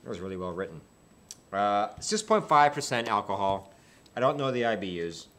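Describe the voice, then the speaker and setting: Relaxed man musing over a beer. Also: on the low side, normal speed. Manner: relaxed, musing, many fillers and restarts. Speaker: beer reviewer co-host. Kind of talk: casual tasting chat. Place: small indoor studio set with dark curtain.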